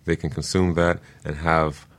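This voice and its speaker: A man speaking as an expert guest in an interview, explaining things in an earnest, deliberate way. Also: very deep voice, flat delivery. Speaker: guest expert, permaculture teacher.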